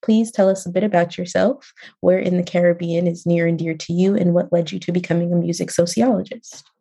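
A woman's voice: fairly low pitch, steady tone, fairly quick, gravelly, warm tone, slightly rough. A woman hosting a podcast, delivering a scripted introduction and welcoming a guest. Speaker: podcast host.

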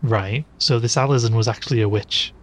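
A man's voice: medium pitch, fairly quick, lightly acted. A man playing a sceptical, curious interviewer in an audio drama, questioning a strange tale with some disbelief. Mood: curious, probing. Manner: questioning, incredulous. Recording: clearly noisy recording.

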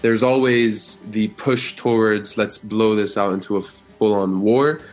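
A young man from the Gaza Strip answering interview questions in a measured, earnest way, with some fillers. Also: fairly low pitch, fairly even intonation, soft background music. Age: young adult. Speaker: interview guest.

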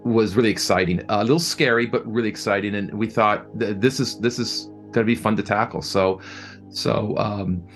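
Halting, low-pitched man in an interview. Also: steady tone, faint music behind. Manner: halting, repeats words as he thinks. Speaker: film and audio drama producer being interviewed. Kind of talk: conversational interview answers.

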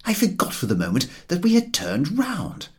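A man's voice: on the high side, huge pitch swings, normal speed, voice pushed up. A man voicing a cocksure, easily flustered amateur navigator in a storybook, exclamatory and self-important as he protests. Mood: puzzled.

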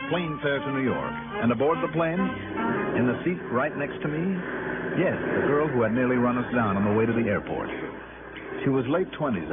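A man's voice: medium pitch, lightly acted. An American man acting a breezy, wry insurance investigator who narrates his case in a 1960s radio drama. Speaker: lead actor and narrator. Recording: muffled vintage radio broadcast, loud music.

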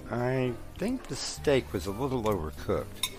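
A man's voice: medium pitch, very animated, unhurried, music in the background. A confident, teasing fiancé in an audio drama, a lawyer very sure of himself. His middle-range voice swings expressively as he probes and needles with smug ease. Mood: teasing, reassuring. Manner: self-assured, teasing. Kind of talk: scripted audio drama acting.

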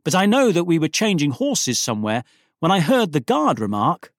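A man reading a Victorian story aloud as an actor, with wry, self-deprecating humour and deliberate, dramatic phrasing. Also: on the high side, big pitch swings, no echo, studio quality. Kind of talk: expressive literary narration of a Victorian story.

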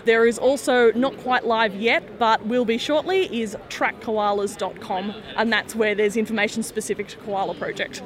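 A woman engineer explaining her company's work clearly in an interview. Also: a little high, steady tone, moderate pace, hardly any pauses. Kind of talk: casual interview. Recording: crowd noise behind. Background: crowd chatter.

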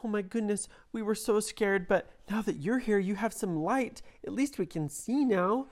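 A woman voicing small frightened children trapped inside a giant spider, speaking high, breathless and eager. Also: moderate pace, a little mellow, lightly acted. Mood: relieved.

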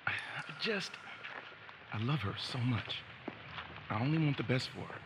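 Young man, played by male actor, conflicted and conversational, very animated intonation, heavy ambience. Audio drama. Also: mid-range voice, normal speed, lightly acted. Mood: wistful, reflective. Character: young man missing his vanished girlfriend, self-deprecating, conflicted. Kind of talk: naturalistic audio drama acting. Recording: loud outdoor ambience noise.

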